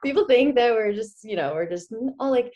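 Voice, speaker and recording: A woman in her twenties from the Czech Republic, chatting as a guest in a video-call interview, animated and quick to laugh. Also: fairly high pitch, lo-fi sound. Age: 20s. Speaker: guest, former college hockey player. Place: small indoor room. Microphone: laptop webcam on video call.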